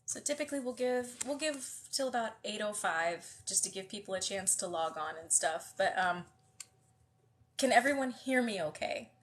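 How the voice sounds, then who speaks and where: A woman's voice: mid-range voice, expressive, moderate pace. A woman with a slightly nasal, congested voice, hosting a live book reading and chatting casually with viewers, with many fillers and restarts. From US West Coast. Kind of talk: casual livestream chat greeting viewers.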